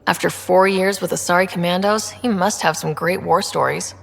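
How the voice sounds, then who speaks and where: An earnest young space commander in a video game. Her voice sits a little low with plenty of fry, and she talks in an inquisitive, conversational way. Long reverb surrounds her, with music behind. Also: steady tone, moderate pace. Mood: curious, friendly. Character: young female human explorer, curious, earnest commander. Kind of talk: video game dialogue acting.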